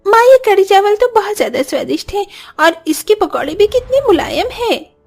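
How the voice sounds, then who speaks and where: Cartoon small boy played by a woman: very high, innocent, eager, exclaiming. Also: sing-song, normal speed, faint music behind, heavily acted. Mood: delighted. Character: eager hungry little boy, innocent and excited.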